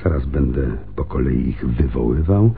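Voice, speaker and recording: An actor playing a seasoned, wry and commanding police lieutenant in a Polish radio play. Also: male voice, very deep voice, lively intonation, normal speed, gravelly, narrow, tinny audio, slight background noise, exaggerated voice. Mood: measured.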